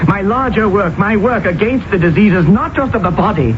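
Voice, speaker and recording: An actor playing a resolute, idealistic doctor in colonial India, earnest and commanding, in old-time radio drama style. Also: male voice, expressive, normal speed, highs cut off. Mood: impassioned. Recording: thin, noisy radio broadcast with rumble.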